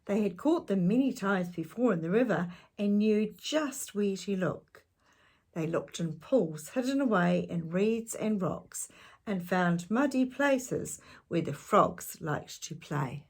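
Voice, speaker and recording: A woman reading a children's storybook aloud, with measured, expressive narration for young listeners. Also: mid-range voice.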